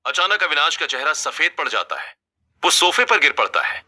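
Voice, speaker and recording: A man's voice: on the high side, sing-song, normal speed, pushed and bright. A man reading scripted narration for a family drama story as its narrator.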